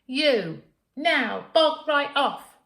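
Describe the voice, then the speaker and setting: Woman playing a shouty, stroppy girl, high-pitched. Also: animated, drawn-out words, creaky, heavily acted. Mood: defiant, shouting. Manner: blunt, loud, stroppy shouting. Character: rude, grubby, defiant shouting young girl. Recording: echoey room.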